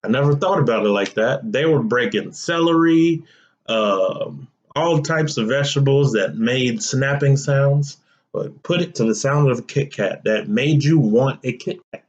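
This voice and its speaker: Young male podcast host, relaxed and chatty, slow delivery, slightly muffled audio. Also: medium pitch. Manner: relaxed, conversational, asks listeners directly. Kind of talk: casual solo podcast talk.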